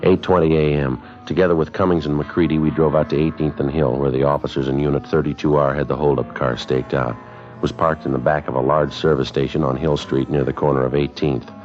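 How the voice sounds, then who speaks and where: An American actor playing a terse, businesslike police sergeant in a 1950s radio drama, clipped and matter-of-fact. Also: male voice, extremely low pitch, level tone, brisk, faint music behind. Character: terse, businesslike male police sergeant, narrating his case. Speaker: detective and narrator. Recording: dull vintage 1950s radio broadcast.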